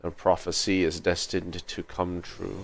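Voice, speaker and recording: A man's voice: sits low, monotone, unhurried, creaky, background noise. A man giving casual livestream game commentary in musing, sparse remarks with thoughtful hums. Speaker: livestream gamer.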